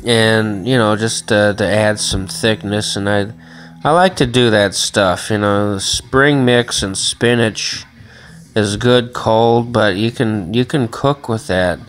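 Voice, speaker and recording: A man's voice: lowish voice, fairly even intonation, takes it slowly, fairly bright tone. A man rambling casually and swearing often in a home vlog, filmed on a handheld phone in a small room. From Chicago area. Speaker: vlogger. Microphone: phone camera held in hand.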